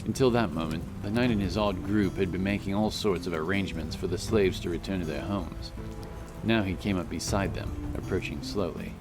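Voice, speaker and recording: Male fantasy audiobook narrator, very low voice, storytelling delivery over prominent music. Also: a little fast. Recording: loud music under the voice.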